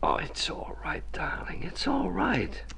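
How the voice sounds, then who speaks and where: A man's voice: a little high, huge pitch swings, unhurried, gravelly, sharp tone, in a whisper, heavily acted. An actor playing an earnest male doctor and family friend in a vintage radio drama, urging and familiar in manner. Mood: intense, pleading. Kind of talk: 1950s radio drama acting.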